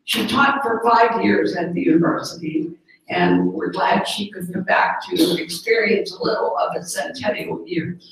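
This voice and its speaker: A religious sister hosting a gathering, inviting guests to share stories in a chatty way with filler words. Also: female voice, mid-range voice, normal speed, vocal fry, fairly bright tone. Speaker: host, sister of the religious community. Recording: echoey room, mic far off.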